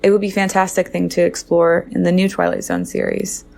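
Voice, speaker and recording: A woman's voice: on the low side, very animated, a little fast, gravelly, darkish tone. A woman giving casual spoken commentary as a listener, in a reflective, personal way. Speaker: podcast listener giving episode opinions.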